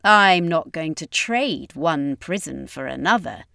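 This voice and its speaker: A woman's voice: sits low, expressive, a little slow, gravelly, sharp tone, clear, resonant tone, dry recording, studio quality, full character voice. A woman voicing a bitter, proud male vampire king in a fantasy audiobook, defiant and threatening.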